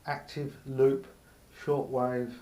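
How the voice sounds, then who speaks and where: A man giving a casual, unscripted hands-on demonstration as a hobbyist, speaking haltingly with pauses in mid-phrase. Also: mid-range voice, flat delivery, dark tone, rough recording. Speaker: hobbyist showing a homemade radio aerial project. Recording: faint background noise, amateur camera recording.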